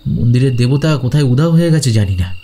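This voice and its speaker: Male actor as anxious schoolboy companion, questioning, admiring, mellow timbre, radio-play adventure, faint music bed. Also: medium pitch, lively intonation, fast talker, natural voice. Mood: shuddering unease. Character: Ajay, uneasy, admiring schoolboy sidekick in an adventure. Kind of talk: Bengali audio drama acting.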